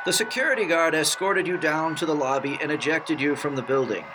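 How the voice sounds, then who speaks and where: Fairly high-voiced radio newsman, acted. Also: brisk, background noise, natural voice. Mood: defensive, dry. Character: earnest, grave male radio news anchor. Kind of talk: old radio newscast acting.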